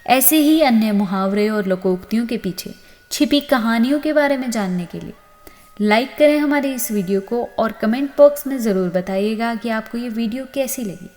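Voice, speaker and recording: A woman narrating a scripted moral story as host, with an expressive storytelling delivery. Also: fairly high pitch, normal speed. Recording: long reverb on the voice.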